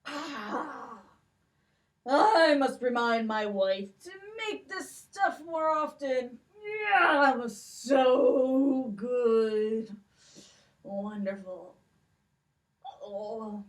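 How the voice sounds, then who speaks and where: A woman's voice: on the high side, extremely slow, recorded from a distance. A woman voicing a sleepy male giant in a library puppet show, groaning and mumbling as he nods off. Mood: drowsy. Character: giant man, sleepy, greedy for his tea.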